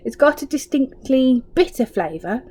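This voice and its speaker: A woman's voice: sits high, lively intonation, moderate pace, darkish tone, slight background noise, pitched above the performer's own voice. A woman voicing a nasty, sly old man who grumbles and taunts, in a storybook reading. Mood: grumbling. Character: nasty, gleeful old man with hairy beard, sly husband.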